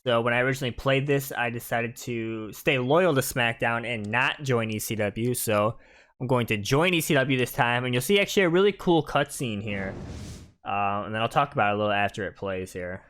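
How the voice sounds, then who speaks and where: A man's voice: mid-range voice, fairly quick, fairly bright tone. A man giving casual, informal, explanatory commentary over video game cutscenes. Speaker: video game streamer, narrator of playthrough.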